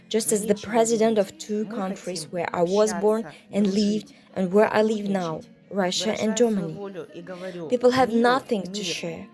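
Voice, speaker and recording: Woman reading earnest voice-over. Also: medium pitch. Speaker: voice-over interpreter. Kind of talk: read voice-over translation.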